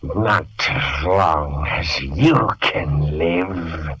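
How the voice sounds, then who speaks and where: A husky, tormented Dr. Jekyll. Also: male voice, a bass voice, extremely slow, full character voice. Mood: anguished. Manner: anguished, pleading, shifting to a dark whisper. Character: tormented Dr. Jekyll, adult man, torn against his evil self. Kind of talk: 1940s radio acting.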